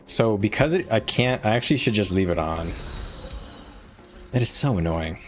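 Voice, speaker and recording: A man's voice: fairly low pitch, lively intonation, normal speed, soft background music. A male streamer giving live gameplay commentary, frustrated and swearing as he thinks aloud. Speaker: game streamer.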